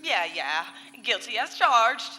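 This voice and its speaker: Casting: actress as haughty sci-fi arch-villainess, very sharp, forceful tone, dramatic swoops, live hall. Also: female voice, a little high, big pitch swings, slow, exaggerated voice. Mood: exasperated. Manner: theatrical, grandiose, biting. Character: scheming female space villainess, haughty, exasperated arch-nemesis. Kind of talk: live comedic sci-fi radio play in 1940s serial style. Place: convention hall stage before live audience. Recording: reverberant live hall, faint music.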